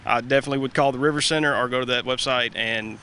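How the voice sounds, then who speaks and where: A man's voice: medium pitch, fairly even intonation, quick pace, bright, forceful tone, smooth. A man from a parks department, explaining plainly with "uh" fillers in an outdoor interview at a rink. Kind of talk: live TV interview. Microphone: reporter's handheld mic.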